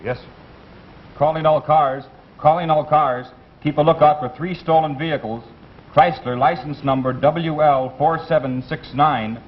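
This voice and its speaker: A man's voice: fairly even intonation, normal speed, natural voice. An actor playing a formal male police dispatcher who announces like a disc jockey, in a 1950s radio comedy. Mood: businesslike. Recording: muffled old radio recording, faint rumble.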